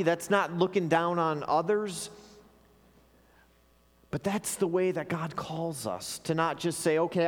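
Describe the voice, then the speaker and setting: A man pastor preaching an earnest sermon to his congregation, sometimes repeating words as he restarts a phrase. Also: fairly high pitch. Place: church sanctuary. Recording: long hall reverb.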